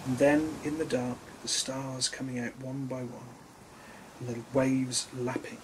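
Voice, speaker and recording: A man's voice: medium pitch, level tone, a little slow, background noise. A man in his thirties reading a children's storybook expressively, speaking simply to young listeners.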